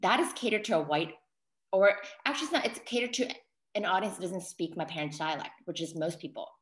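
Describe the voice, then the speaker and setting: A woman's voice: lowish voice, animated, a little fast. An American woman, a solo performer, speaking reflectively in a discussion, hesitating and correcting herself as she thinks aloud. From New York City, United States. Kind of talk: reflective post-show discussion. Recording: roomy, echoing sound.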